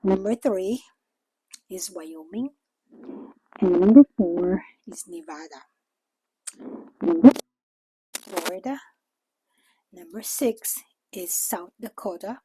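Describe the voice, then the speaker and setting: An older woman from the Philippines with a Filipino accent, a native Tagalog speaker, talking informally and a little haltingly as she explains things in a vlog. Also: mid-range voice, warm tone, husky, no room sound, poor audio. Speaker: vlogger. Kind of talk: informal talk to camera.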